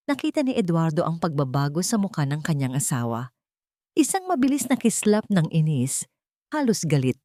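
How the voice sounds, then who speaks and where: A woman narrating a dramatic audio story in a steady, suspenseful storytelling manner. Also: low voice, no echo, studio quality.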